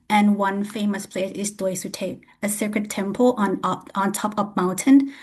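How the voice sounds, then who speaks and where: A woman from Thailand with a Thai accent, an international student giving an earnest prepared self-introduction. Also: mid-range voice. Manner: earnest and grateful, slightly non-native grammar.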